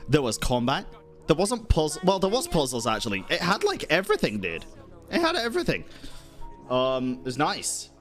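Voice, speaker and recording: Expressive, animated male game streamer. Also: fairly high pitch, normal speed. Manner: expressive, slangy, reactive. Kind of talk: casual live-stream commentary. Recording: faint game music behind.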